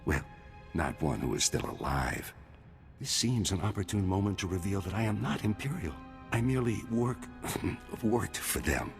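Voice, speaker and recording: A smug villainous surgeon for a video game. The voice is lowish with a heavy gravelly creak, and every line is delivered with slick, mocking politeness over prominent music. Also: male voice, lively intonation, normal speed. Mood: suave, glib. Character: smug amoral male surgeon-scientist, a glib collaborator villain. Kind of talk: scripted video game cutscene acting.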